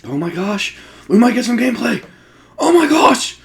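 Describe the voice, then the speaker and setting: A man's voice: extremely high pitch, sing-song, moderate pace, vocal fry. A man hosting a Let's Play, giving casual, jokey game commentary with exclamations and swearing.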